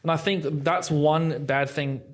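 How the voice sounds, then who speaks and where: A man hosting a podcast, chatting about politics in a quick, conversational way with lots of "you know" fillers. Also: on the high side, fairly even intonation. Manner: rapid, conversational, many fillers. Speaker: podcast host.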